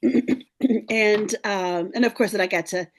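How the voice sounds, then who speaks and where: A woman hosting a team video call, upbeat and encouraging, a little hoarse and clearing her throat often. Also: mid-range voice, measured pace, slight background noise. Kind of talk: casual team meeting talk.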